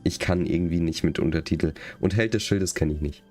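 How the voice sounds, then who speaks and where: A man's voice: low-pitched, fast talker, darkish tone, faint music behind. A man doing casual live gaming commentary, chatting to viewers and reading game text aloud. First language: German. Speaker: game livestreamer.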